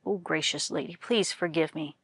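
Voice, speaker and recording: A woman's voice: deep voice, normal speed, fairly bright tone, breathy edge, no echo, studio quality, pitched below the performer's own voice. A woman voicing a cowardly young male scholar in a folktale, humble and imploring. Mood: begging.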